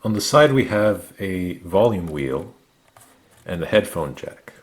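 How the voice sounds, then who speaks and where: A man narrating a product review, explaining things methodically in short, measured phrases. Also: sits low, very animated. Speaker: gadget reviewer.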